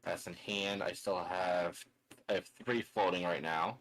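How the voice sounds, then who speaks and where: A man's voice: low voice, steady tone, unhurried, fairly bright tone, rough recording. A man casually narrating his own moves in a tabletop card game, muttering and counting aloud as he thinks. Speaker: card game player and streamer.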